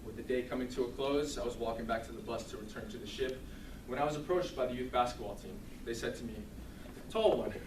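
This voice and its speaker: A man giving a humorous storytelling speech to an audience in a theatrical, deliberate comic manner. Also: mid-range voice. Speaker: speech contest contestant. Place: room with an audience. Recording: roomy, distant mic, clearly noisy.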